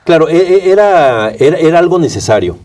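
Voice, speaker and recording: A man with a Mexican Spanish accent answering conversationally in a studio interview, halting and repeating words as he goes, picked up a little away from the microphone. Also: fairly high pitch, very animated, recorded from a distance, crowd noise. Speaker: interview guest, media rights advocate.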